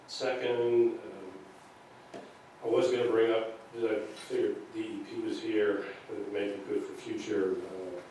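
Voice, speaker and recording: A man speaking hesitantly as he chairs a public meeting in an informal, procedural way. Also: deep voice. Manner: hesitant, halting delivery. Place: indoor public meeting room. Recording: distant mic, very echoey noisy meeting room.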